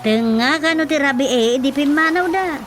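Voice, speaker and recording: A woman's voice: high voice, moderate pace, fairly bright tone. A woman with a Filipino accent playing a nagging, gossipy chairman's wife in a radio drama, pressing and scolding. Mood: gossipy, alarmed. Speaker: actor. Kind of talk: Ilocano radio drama comedy acting. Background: music.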